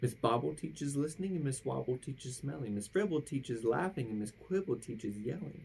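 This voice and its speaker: A woman reading a children's rhyming picture book aloud with theatrical, drawn-out emphasis at the ends of phrases. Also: very deep voice, creaky, warm tone, a little hiss or hum, poor audio. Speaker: storybook reader.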